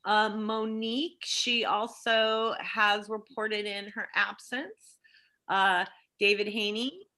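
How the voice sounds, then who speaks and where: A woman's voice: medium pitch, fairly even intonation, slow pace, sharp tone. A woman chairing a public meeting informally over a video call.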